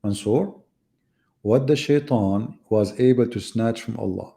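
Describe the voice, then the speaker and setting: Gravelly, mocking man on a live debate. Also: fairly low pitch, a little slow, dark tone. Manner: mocking, sarcastic rhetorical questions. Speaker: debate host. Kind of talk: live online debate monologue.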